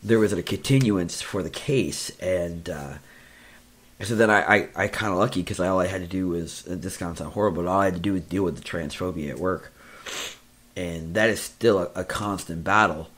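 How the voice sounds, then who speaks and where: A man's voice: moderate pace, fairly bright tone. A middle-aged man with a scratchy voice giving a rambling, casual personal update from his office, often repeating himself. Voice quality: scratchy, deeper voice. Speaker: podcast host. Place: indoors in an office.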